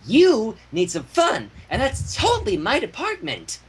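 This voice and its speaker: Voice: mid-range voice, huge pitch swings, unhurried, voice pushed up. A reader voicing a bubbly, hyperactive female pink cartoon pony with a bouncy, playful manner. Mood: playful, coaxing. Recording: faint background noise, livestream audio.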